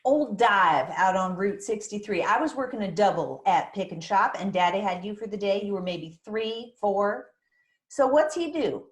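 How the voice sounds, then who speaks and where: A woman's voice: medium pitch, animated, moderate pace, reverberant, lightly acted. A woman acting a crazy, possessive, folksy small-town mother who is fond but prickly, in a screenplay table read. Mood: bitter storytelling. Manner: folksy, dramatic storytelling with teasing jabs. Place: remote virtual table read, roomy-sounding space.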